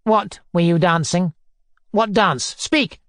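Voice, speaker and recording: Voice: mid-range voice, expressive, measured pace, no room sound, clean studio sound, voice pushed up, close to an everyday voice. Reader playing a pompous, bullying man. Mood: hectoring, imperious. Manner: pompous, majestic nonchalance, hectoring questions. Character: pompous, bullying middle-aged man, sneering and self-important. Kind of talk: audiobook character dialogue.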